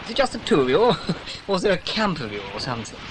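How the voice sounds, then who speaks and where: An actor playing a flustered, bumbling, genteel British birdwatcher who stammers and fusses in a children's audio drama. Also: male voice, high-pitched, very animated, fast, very noisy. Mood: flustered, surprised. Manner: stammering, hesitant, fussy.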